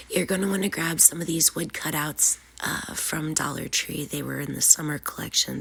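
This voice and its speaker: A woman hosting a relaxed craft tutorial while fighting a cold, and her sniffles come through. Her voice sits very low, with a gravelly, fried edge. She talks slowly and casually, with plenty of "uh" and "um". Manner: casual, chatty, with frequent "uh" and "um". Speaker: craft channel host. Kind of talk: DIY craft tutorial narration.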